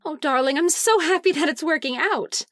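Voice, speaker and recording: An actress playing a trusting, forgiving wife in an old-time radio suspense drama. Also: female voice, high-pitched, moderate pace, fairly bright tone, no echo, clean studio sound. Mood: relieved, tender. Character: trusting, forgiving wife, guarded then sweetly relieved.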